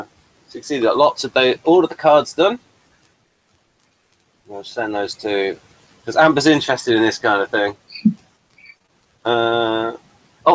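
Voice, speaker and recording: A man's voice: mid-range voice, a bit bright, rough recording. Rambling man full of fillers. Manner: rambling, many fillers and restarts. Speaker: playwright showing his work. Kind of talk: casual chat on a video call.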